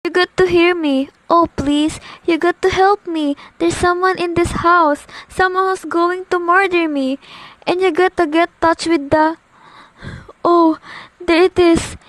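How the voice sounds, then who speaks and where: A woman's voice: very high-pitched, steady tone, a bit bright, dry recording, low-quality recording. A young woman acting a nervous, demanding bedridden woman alone at home in an amateur radio play. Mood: panicked. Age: teenager. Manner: imperious, nervous, halting delivery.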